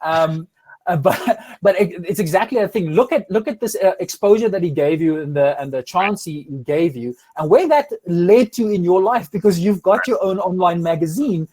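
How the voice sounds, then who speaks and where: Afrikaans-accented male host in his thirties, high-pitched, lively, restarts often, video call sound. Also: normal speed. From South Africa. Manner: energetic, many restarts and fillers. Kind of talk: casual interview over video call. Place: home room with curtains.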